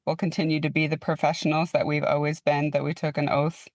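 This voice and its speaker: A woman's voice: low-pitched, flat delivery, fast, dry recording. A woman giving interview statements as a union representative. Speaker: air traffic controllers' union representative.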